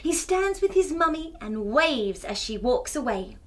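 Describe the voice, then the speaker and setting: A woman with a high, very lively voice presents a children's storytime. She takes her time, reading expressively and breaking off with exclamations and questions for the little ones. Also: unhurried. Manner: animated, exclaiming, asks the young listeners questions. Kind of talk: expressive read-aloud storytelling for young children.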